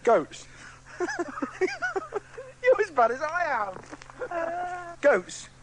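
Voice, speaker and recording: A giggly man struggles to stay composed in a radio outtake. His voice is very high and swoops wildly, drawn out slowly over a clearly noisy recording. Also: huge pitch swings. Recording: archive radio clip with clear noise.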